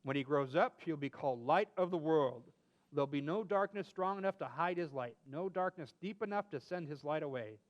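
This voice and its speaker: A man's voice: a little high, lively intonation, a little fast, highs cut off. A man reading a children's story aloud expressively as a co-host, with playful asides.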